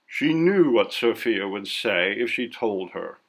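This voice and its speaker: Creaky-voiced male story narrator. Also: on the low side, animated, measured pace. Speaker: audiobook narrator.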